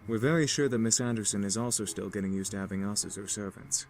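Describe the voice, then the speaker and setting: A man's voice: on the low side, fast talker, close to an everyday voice. A man voicing a composed, courteous young house servant and leader, formal and polite, in a visual novel game. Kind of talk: anime-style visual novel game acting. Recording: game music behind.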